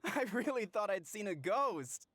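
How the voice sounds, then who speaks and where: An actress voicing an excitable, naive small girl in a game, with gushing, drawn-out exclamations. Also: mid-range voice, no echo, clean studio sound. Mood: excited, amazed. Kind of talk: scripted game dialogue.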